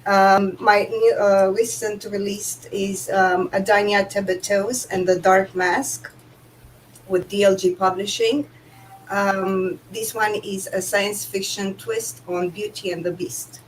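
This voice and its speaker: A woman from Romania with a Romanian accent, speaking English as a second language, chatting as an author guest in a playful, unhurried way. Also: medium pitch, fairly even intonation, slight background noise, poor audio. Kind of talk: casual panel chat.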